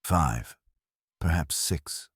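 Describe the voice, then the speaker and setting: A man voicing an imperious, pedantic older detective in an audiobook, speaking in formal, measured, pompous sentences. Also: very deep voice, husky, no room sound, clean studio sound, heavily acted. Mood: dry disdain.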